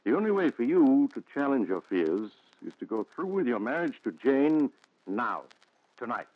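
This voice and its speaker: An actor playing a calm, authoritative doctor in a 1940s radio thriller, speaking in a measured, insistent way. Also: male voice, medium pitch, no echo, close to an everyday voice. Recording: dull 1940s radio recording.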